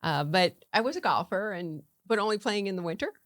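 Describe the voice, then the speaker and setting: A woman's voice: mid-range voice, expressive, fairly quick, a bit bright, no room sound, clean studio sound. A middle-aged woman from Connecticut, a county commissioner chatting easily as a podcast guest, laughing often. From Connecticut, USA. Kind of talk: casual interview chat.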